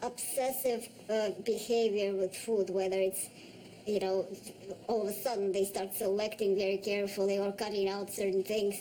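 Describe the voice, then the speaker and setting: A woman in her forties from Russia with a Russian accent, speaking in a documentary interview in a measured way with pauses and restarts. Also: medium pitch, level tone, dark tone, slight background noise, low-quality recording. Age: 40s.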